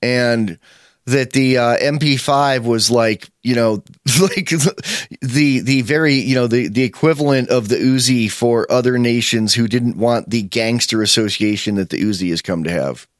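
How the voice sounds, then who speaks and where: A man's voice: mid-range voice, normal speed, dry recording. Man, 50s, podcast host type, rambling and opinionated, dry close sound. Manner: rambling, opinionated, many fillers and restarts. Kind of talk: casual chat.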